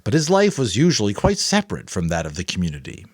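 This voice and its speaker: Male audiobook narrator, lowish pitch, dramatic storytelling, casual asides, dry close recording. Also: wildly swooping pitch, fast talker, no room sound.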